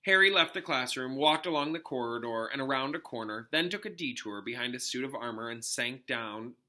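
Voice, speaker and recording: A man, a teacher, reading a novel aloud as expressive storybook narration. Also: medium pitch, fairly quick.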